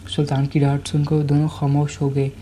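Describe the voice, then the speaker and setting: Dark, muffled, even-toned storyteller. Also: medium pitch, fairly quick, a little hiss or hum. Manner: stumbles over and repeats words while reading. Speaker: storyteller, channel narrator. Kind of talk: scripted story narration.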